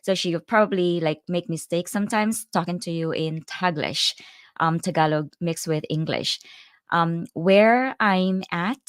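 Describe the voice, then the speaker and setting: A woman's voice: lowish voice, unhurried, clear, resonant tone, no room sound, studio quality. A woman from the Philippines with a Filipino accent, chatting casually to her viewers on a livestream and greeting the live chat as she goes. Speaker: host of a livestream.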